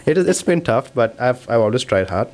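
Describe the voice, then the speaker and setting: A man's voice: mid-range voice, very animated, brisk, darkish tone. A man from India with an Indian accent, a guest in a casual interview, earnest, often repeating and restarting his words.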